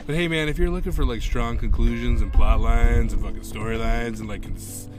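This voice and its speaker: A young man, a cook co-hosting a podcast, talking casually with lots of swearing; his voice is low, nasal and mumbling. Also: a little fast, over music. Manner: swears a lot, rambling, laughs often. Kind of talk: casual profane chat.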